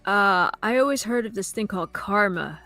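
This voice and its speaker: A woman's voice: mid-range voice, lively intonation, moderate pace, sharp tone, faint music behind. A woman guesting on a live reaction stream, blunt and sarcastic, swearing freely. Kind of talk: casual reaction chat.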